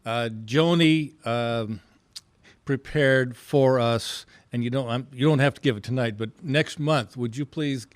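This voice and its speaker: Halting man chairing an informal meeting. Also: mid-range voice, no room sound. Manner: hesitant, rambling, polite.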